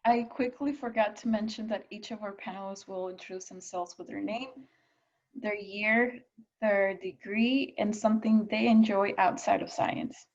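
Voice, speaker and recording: A young woman from California hosting and introducing an online student panel, speaking haltingly with frequent ums. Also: medium pitch, steady tone, dull audio. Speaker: panel host and moderator.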